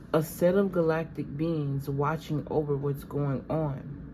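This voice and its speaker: A woman's voice: low-pitched, background noise. A woman doing a channeled tarot reading in a casual, meandering way, sounding a little stuffy. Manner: conversational, meandering, addresses viewers as "y'all". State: stuffy from allergies. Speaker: tarot reader.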